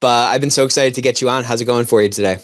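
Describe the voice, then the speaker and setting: Host: man, mid pitch, relaxed and easygoing. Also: racing. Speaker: podcast host. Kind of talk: casual interview.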